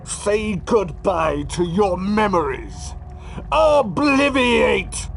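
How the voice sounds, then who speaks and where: Creaky, very slow scheming wizard. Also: male voice, high voice, big pitch swings, music in the background, exaggerated voice. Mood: gloating. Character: vain, scheming wizard professor.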